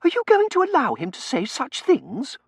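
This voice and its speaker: A man's voice: animated, normal speed, no echo. A man voicing a spoiled, petulant young lady in an audiobook, indignant and imperious. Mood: petulant, demanding. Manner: shrill, indignant, imperious, gushing. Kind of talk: audiobook character voice.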